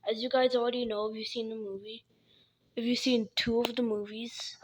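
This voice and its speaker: A young woman who speaks haltingly, often repeating and restarting her words, as she talks casually to her viewers. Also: a little high, level tone, a little hiss or hum, poor audio. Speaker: animation creator. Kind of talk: casual vlog appeal.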